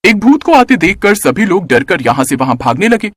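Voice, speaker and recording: A man narrates a children's story in a high voice with very lively, swooping intonation. The delivery is scripted and expressive, and the recording is close and dry. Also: normal speed, dry recording.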